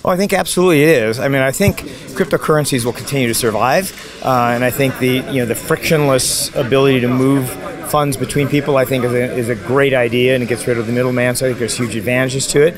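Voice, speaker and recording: An older executive speaks briskly and confidently into a handheld mic outdoors at night. The chatter of a crowd fills the street behind him. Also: male voice, medium pitch. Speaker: business executive. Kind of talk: street interview. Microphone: handheld mic held by interviewer.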